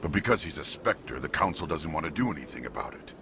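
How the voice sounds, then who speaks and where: A man playing a stern, proud alien police chief in a video game, curt and disapproving, with a gravelly voice. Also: a bass voice, animated, quick pace, background noise. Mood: stern, indignant. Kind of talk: video game dialogue acting.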